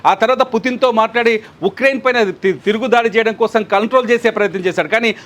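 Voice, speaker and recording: A man's voice: high-pitched, fast talker, fairly bright tone. A man giving news analysis as a commentator, explaining and repeating points for emphasis.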